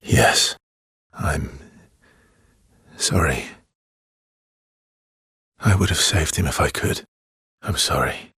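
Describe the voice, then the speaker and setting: An actor playing a weary, guarded, sorrowful young man in a video game, speaking softly and haltingly with earnest feeling and a husky, breathy voice. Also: very deep voice, no echo, studio quality, full character voice. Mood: guilty, apologetic. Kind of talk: dramatic video game acting.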